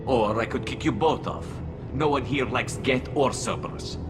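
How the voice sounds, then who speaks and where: A man playing a gruff, blunt alien ship captain in a video game, curt and authoritative. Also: medium pitch, normal speed, lightly acted. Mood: stern, warning. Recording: loud music under the voice.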